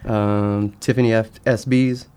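A man with a somewhat low voice talks as a guest on a podcast. He keeps it casual, answering in brief, understated phrases. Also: level tone, measured pace, warm tone, clear, resonant tone. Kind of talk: casual chat.

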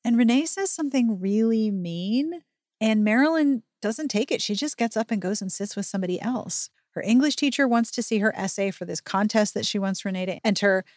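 A woman hosting a chatty book recap podcast, animated and full of wry asides. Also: medium pitch, a little fast, no room sound, clean studio sound. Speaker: podcast host.

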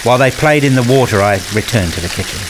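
A man's voice: mid-range voice, expressive, quick pace, background noise, close to an everyday voice. Guy playing a frustrated wordy dad in a radio play, earnest, kinda sorry for himself. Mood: wistful narration. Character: frustrated, pleading family father narrating his own life. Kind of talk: first-person radio play narration and dialogue.

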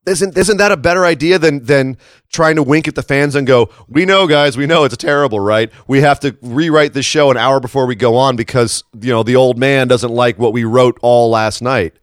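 A man hosts a casual talk podcast in a froggy, slightly hoarse voice. He makes his points emphatically and often checks in with tag questions. The sound is dry, with little room around him. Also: mid-range voice, normal speed, dry recording. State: tired froggy morning voice after a late shift. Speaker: podcast host. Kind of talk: casual wrestling commentary chat.

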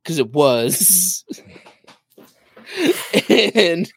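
A man's voice: a little high, animated, very slow, creaky, a bit bright, slightly rough. A man talking casually and emphatically about video games as a podcast co-host, with slangy phrasing.

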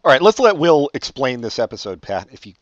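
A man's voice: medium pitch, big pitch swings, fairly quick, muffled recording, a crowd in the background. A man in his forties hosting a podcast, chatting casually with dry, wry teasing. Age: 40s. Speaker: podcast host. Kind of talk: casual chat.

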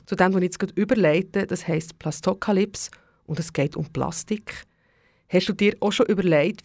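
A woman's voice: fairly low pitch, creaky, a little mellow, no room sound, clean studio sound. A woman with a Swiss German accent presenting on radio in a conversational way, speaking directly and informally to the listener. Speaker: radio host.

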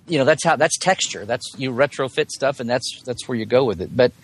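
A man's voice: medium pitch, lively intonation, fairly quick, poor audio. A man in a casual fan discussion as a podcast guest, talking in a digressive, colloquial way and often rephrasing mid-thought. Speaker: podcast guest, Star Trek blogger.

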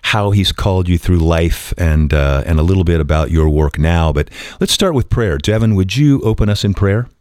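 A man's voice: deep voice, expressive, moderate pace, hardly any pauses, a little mellow, dry recording, clean studio sound. A man hosting a faith radio program, eager and welcoming, with frequent fillers.

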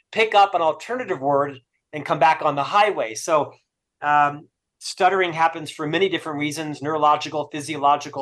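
A middle-aged man with a stutter talks as an interview guest, upbeat and quick to poke fun at himself. His voice sits a bit higher than most men's. He sounds like an author and speaker at ease in conversation. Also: a bit bright. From Florida, USA. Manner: upbeat, self-deprecating humor.

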